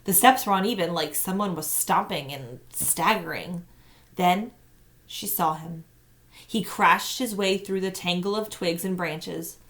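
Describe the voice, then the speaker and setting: Female teacher-narrator, slightly low register, expressive storytelling for young listeners. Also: measured pace. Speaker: teacher reading aloud. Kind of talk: children's novel read-aloud narration.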